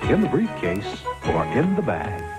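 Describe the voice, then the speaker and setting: A man narrating a scripted commercial as an announcer, with a lively intonation. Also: on the low side, measured pace. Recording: old TV ad with music. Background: music.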